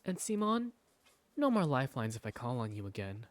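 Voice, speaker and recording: A man voicing a stern, sarcastic male high school math teacher in a visual novel fandub. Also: very animated, brisk, close to an everyday voice.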